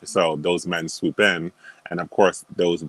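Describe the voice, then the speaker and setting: Man, guest, very low voice, dry close sound, firm opinions, lots of "um" and "like". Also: level tone, unhurried, a bit bright, dry recording, low-quality recording. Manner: firm opinions, many fillers, laughs at himself. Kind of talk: casual opinionated chat.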